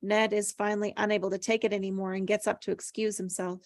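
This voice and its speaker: A woman's voice: mid-range voice, flat delivery, fast, no echo. A woman reading stage directions aloud from a script at a table read, as scripted narration.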